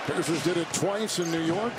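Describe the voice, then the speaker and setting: A man's voice: fairly high pitch, normal speed. A man doing live play-by-play basketball commentary as an announcer. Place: basketball arena. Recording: loud crowd noise, ball bounces, game broadcast. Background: roaring arena crowd and bouncing basketball.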